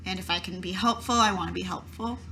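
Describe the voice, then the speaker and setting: A woman's voice: on the low side, fast, pushed and bright, slight background noise. A woman playing a tabletop roleplaying game, talking casually as player and character.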